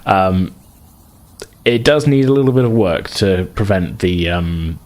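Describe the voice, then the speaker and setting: A man improvising narration as game master of a tabletop role-playing game, halting and rephrasing as he describes. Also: low voice, animated, darkish tone.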